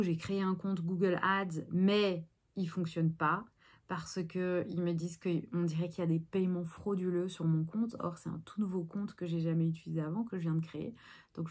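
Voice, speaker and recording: A woman talks through her day in a casual vlog. She rambles, restarts and fills pauses freely. Her voice sits a little low. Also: a little mellow. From Belgium. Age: 30s. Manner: chatty, rambling with many fillers. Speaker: actress vlogger.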